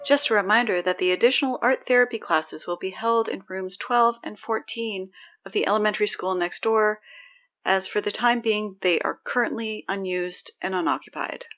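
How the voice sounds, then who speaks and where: A woman's voice: mid-range voice, normal speed, highs cut off, lightly acted. A woman playing a dry, sarcastic teenage girl who reads the school announcements in an audio drama. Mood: wry.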